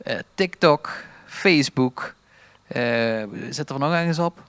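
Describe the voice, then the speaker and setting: Limburgish guy co-hosting a podcast, close dry sound, average pitch, chatty. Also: unhurried, a bit bright, clear, resonant tone, no room sound. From Limburg, Netherlands. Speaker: podcast co-host. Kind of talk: casual podcast banter.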